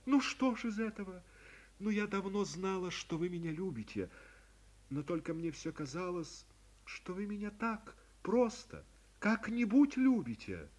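In this audiobook, a fragile young woman, read by a man, breaks down, her high voice climbing in anguished, tearful pleas. She begs rather than accuses, her voice swinging lively between quiet and loud. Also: measured pace, full character voice. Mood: tender. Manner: tearful, rising to anguished pleading. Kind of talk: audiobook reading of classic prose.

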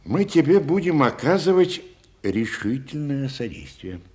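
A man acting a senior official in an archive stage recording, speaking low and firm in a lecturing way. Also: sing-song, unhurried, a little mellow. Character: male senior Soviet official, commanding and blunt. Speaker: stage actor in an archive theatre scene.

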